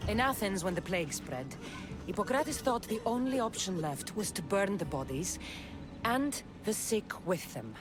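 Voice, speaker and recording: An actress playing a blunt, wry, pragmatic warrior heroine of ancient Greece in a video game drama. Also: female voice, medium pitch, lively intonation, normal speed, close to an everyday voice. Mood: grim. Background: music.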